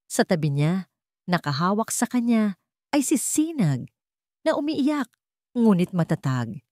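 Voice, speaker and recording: A woman's voice: mid-range voice, very animated, measured pace, warm tone, dry recording, studio quality. A woman narrating a scripted dramatic story.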